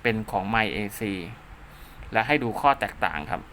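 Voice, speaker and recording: Male DIY tutorial host: bright mid-pitched voice, informal and repetitive, throws in spoken sound effects, slightly noisy recording. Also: expressive, moderate pace. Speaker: host of a DIY radio repair channel. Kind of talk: informal hands-on tutorial, talking while drawing diagrams.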